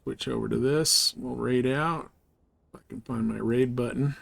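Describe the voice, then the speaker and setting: A man's voice: medium pitch, moderate pace, gravelly, fairly bright tone, no room sound. A man chatting casually and informally as he hosts a live game stream, rambling in slangy language.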